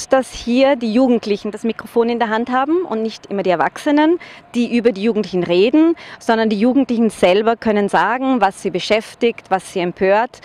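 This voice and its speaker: A woman's voice: mid-range voice, darkish tone. Woman, Austrian German accent, middle-aged, teacher, earnest, slight hesitations, outdoor handheld mic. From Vorarlberg, Austria. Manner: earnest, measured, with occasional hesitations. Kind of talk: personal testimonial to camera. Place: outdoors in front of a school building. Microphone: handheld microphone.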